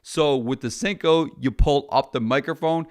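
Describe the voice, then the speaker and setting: A man's voice: mid-range voice, moderate pace. A man, a filmmaker, chatting casually and bluntly through a product review.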